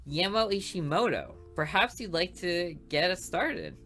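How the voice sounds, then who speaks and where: A woman voicing a strict, tired high school teacher in a visual novel. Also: animated, measured pace, fairly bright tone, soft background music. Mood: stern. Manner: strict and commanding. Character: stern, weary female high school teacher.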